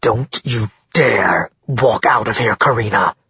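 A man's voice: medium pitch, animated, unhurried, a bit bright, slightly rough, no echo, lo-fi sound, exaggerated voice. A man voicing a stern, powerful father who speaks coldly and growls, in an audiobook reading. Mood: cold anger. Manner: cold and emotionless, growling.

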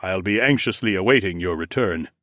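A man's voice: low voice, lively intonation, a little fast, a bit bright, no echo, studio quality. A man voicing a stately, formal king in a fantasy audiobook. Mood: calm, dismissive. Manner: formal, solemn. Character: stately male king, ceremonial, kindly dismissive.

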